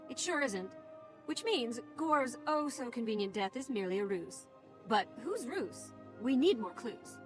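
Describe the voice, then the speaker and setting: A woman's voice: fairly high pitch, big pitch swings, unhurried. A woman voicing an eager, bookish gnome-like conspirator in a video game, theatrical, precise and self-satisfied. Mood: intrigued. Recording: game music under the voice.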